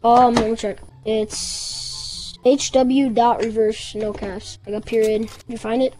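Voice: medium pitch, level tone, unhurried, a little mellow, faint music behind, rough recording. A child playing an online game, chatting casually in gamer slang. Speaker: player.

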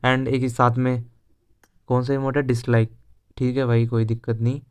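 A man's voice: medium pitch, flat delivery, normal speed, a little mellow, smooth. A young man with an Indian accent giving casual, chatty game walkthrough commentary as a video host. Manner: informal, chatty, mixes Hindi with English words.

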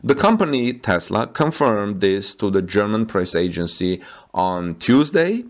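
A man's voice: on the low side, sing-song. A man reading out and commenting on news updates, with frequent fillers and restarts. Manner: halting, with many fillers and restarts.

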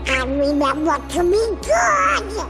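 Teasing cartoon duck for a game, squawky garbled quack, high and drawn out. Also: male voice, very animated, slow pace, gravelly, bright, forceful tone, soft background music, full character voice. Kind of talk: cartoon-style game acting.

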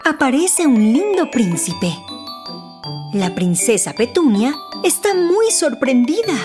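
A woman narrating a scripted children's story in an expressive, deliberate storytelling manner. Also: high-pitched, over music.

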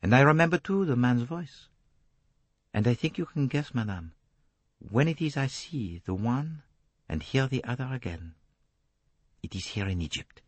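Guy doing a calm foreign gentleman detective for an audiobook, formal and deliberate, melodic swings, slightly husky. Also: mid-range voice, no room sound, voice pushed up. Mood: detached, recounting. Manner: placid, detached, formal, deliberate phrasing.

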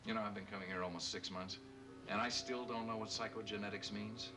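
A man's voice: fairly low pitch, flat delivery, brisk, over loud music, natural voice. An American man acting a bitter, sardonic, weary suburban husband of forty in a 1970s television drama. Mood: wry, weary. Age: forties. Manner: sarcastic, weary. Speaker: actor in a television film. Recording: noisy old TV film soundtrack.

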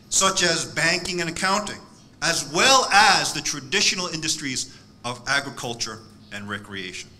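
Bright-voiced man giving a formal keynote. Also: on the high side. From born in South Korea, raised in the United States. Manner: measured, emphatic, declamatory. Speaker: keynote speaker. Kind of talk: formal prepared speech. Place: conference hall. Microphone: podium microphone.

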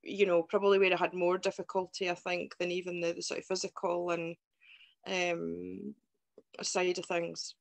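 Fast-talking Scottish woman in her forties. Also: lowish voice, little pitch movement, creaky. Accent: Scottish, Fife. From Scotland. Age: 40s. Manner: fast talker, rambling, reflective. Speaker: guest. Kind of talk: casual video-call interview. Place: indoor room at home. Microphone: video call.

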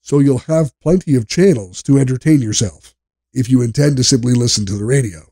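A man reading a scripted product review as narrator, in a measured, polished and evenly enunciated way. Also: medium pitch, creaky, warm tone, no echo, studio quality.

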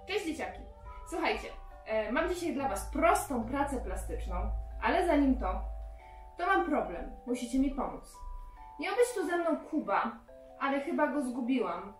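A woman's voice: high voice, very animated, moderate pace. A woman in her thirties leading a craft and game for young children, asking them simple questions directly. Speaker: children's lesson presenter. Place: child's bedroom indoors. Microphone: camera a few metres away. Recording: roomy, distant camera sound with music.

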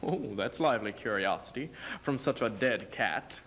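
A man's voice: on the low side, expressive, normal speed, fairly bright tone, slight background noise. A young man playing a proud, sardonic aging king in a stage reading, mocking and declamatory. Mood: mocking, smug. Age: young adult. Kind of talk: theatrical duo interpretation, stage reading. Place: indoor stage in front of curtain.

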